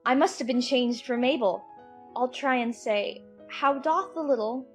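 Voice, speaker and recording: A woman's voice: high voice, unhurried, soft background music. A woman playing a curious, chattering young girl who muses aloud to herself in a dramatized audiobook. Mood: puzzled. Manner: talking to herself, musing aloud. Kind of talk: dramatized audiobook character acting.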